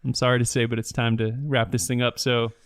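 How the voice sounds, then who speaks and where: A man's voice: mid-range voice, level tone, brisk, clear, resonant tone, no room sound, clean studio sound. A man co-hosting a comedy podcast in casual, chatty talk. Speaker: podcast co-host.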